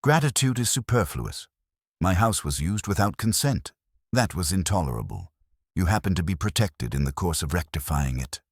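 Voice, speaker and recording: A man's voice: low-pitched, very animated, moderate pace, dry recording, clean studio sound, exaggerated voice. A man voicing a heavyset, imperious genius detective in an audiobook, with formal, pompous, precise phrasing. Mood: cool, imperious.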